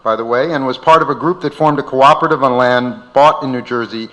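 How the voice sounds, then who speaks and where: A man's voice: medium pitch, a little fast, pushed and bright, rough recording. A man narrating from a script aloud as the host of a live event.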